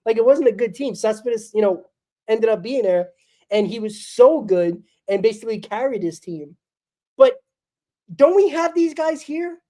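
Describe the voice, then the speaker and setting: A woman's voice: a little high, lively intonation, normal speed, warm tone. A woman hosts a solo postgame fan show, ranting bluntly and with exasperation while sick, her voice crackling and coughing.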